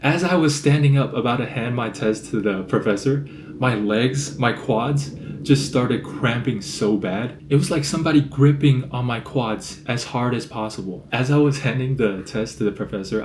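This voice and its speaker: A young man chatting through his daily routine in a relaxed, storytelling way, filling his talk with "like", his voice deep in the morning. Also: moderate pace, reverberant, a little hiss or hum. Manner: relaxed storytelling, lots of "like" fillers. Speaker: vlogger. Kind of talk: casual day-in-the-life vlog talk.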